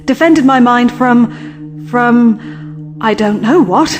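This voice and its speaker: A woman's voice: a little high, slow pace. An actress playing a plucky, strong-willed young woman in a sci-fi audio drama, speaking frankly in short replies. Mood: awed, subdued. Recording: music behind the dialogue.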